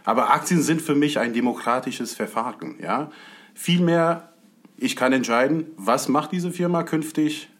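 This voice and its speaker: A man who grew up in the United States, talking through stocks in a measured, explanatory way in a casual conversation. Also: medium pitch.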